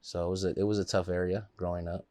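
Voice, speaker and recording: A man's voice: low voice, little pitch movement, fast talker, darkish tone, no room sound. A man from the Los Angeles area, a guest in a casual interview, telling stories in a loose, laid-back way with plenty of fillers.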